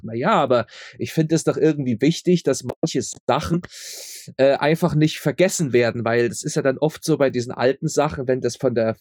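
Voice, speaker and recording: A man with a mid-pitched voice talks casually as a guest on a podcast. He is chatty and tends to repeat words to stress them. The recording is dry, with no audible room. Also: darkish tone, no room sound. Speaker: podcast guest, audio drama maker. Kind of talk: casual interview chat.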